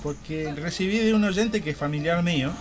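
A man's voice: fairly high pitch, normal speed. A man with a Rioplatense accent co-hosting a talk show, playful and wisecracking. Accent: Rioplatense Spanish. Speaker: radio show co-host. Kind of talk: casual studio banter. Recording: music clearly behind.

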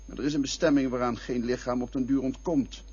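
A man's voice: mid-range voice, steady tone, a little fast, a little mellow, breathy edge, highs cut off, lightly acted. A man in a radio play voicing a cynical inner voice that probes and mocks a lover. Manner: cynical, probing, mocking.

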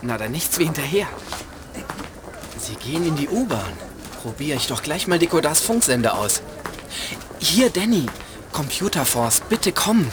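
Eager boy hero, high lively voice, slangy n excited, kids radio play. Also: moderate pace, long pauses, noisy background. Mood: determined. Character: plucky, eager boy hacker-chaser. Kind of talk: children's audio drama acting.

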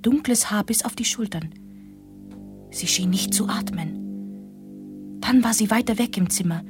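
An actress playing a reflective young woman who narrates a radio drama in the first person, hushed, deliberate and suspenseful. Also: mid-range voice, lightly acted. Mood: haunted, fearful. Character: young woman recounting an eerie night, haunted, reflective. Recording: music under the voice. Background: clear music.

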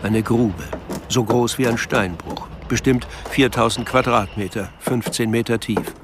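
A man's voice: medium pitch, unhurried, noisy background, lightly acted. A man narrating a melancholy literary story in an audio drama. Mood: measured narration. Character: male narrator of a melancholy novel.

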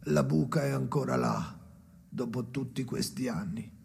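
A man's voice: mid-range voice, unhurried, vocal fry, heavy background noise. An actor playing a bitter, haunted husband and father in a literary radio drama, brooding and theatrical. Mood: bitter, nostalgic. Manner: theatrical, brooding, swinging to sudden outbursts.

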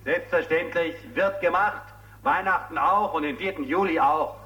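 An actor playing a glib, condescending game show host in a radio drama, jovial and mocking. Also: male voice, on the high side, normal speed, sharp tone, low-quality recording.

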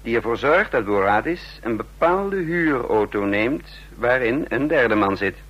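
A man playing a sardonic, commanding chief of secret agents in a radio play, brisk and teasing as he gives orders. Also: medium pitch, animated, fairly bright tone, breathy edge, lo-fi sound. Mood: brisk, instructing. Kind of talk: Dutch radio play acting.

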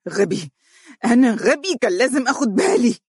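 Brief: narrator voices a frantic, ashamed Egyptian man, fast and raised, dry booth sound. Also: sits high, slightly rough, no room sound, voice pushed up. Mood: self-blaming. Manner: rapid, desperate shouting. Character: panicked young man, ashamed and desperate.

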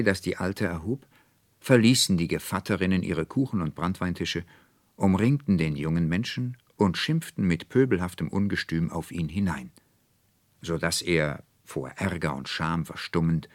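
A man narrating a literary audiobook as an expressive, measured storyteller, recorded in a studio. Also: sits low, no room sound. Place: dry studio.

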